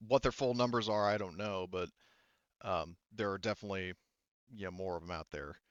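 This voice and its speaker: A man acting as game master, casually explaining game lore in a halting way with many restarts. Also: sits low, clean tone, no echo. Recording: slightly dull, dry close mic.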